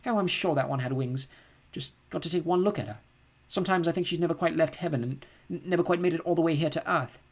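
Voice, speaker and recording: A man's voice: fast talker, few pauses. A man voicing a tender worried mother. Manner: shrill when upset, soft when tender. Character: anxious, tender mother of a sick baby.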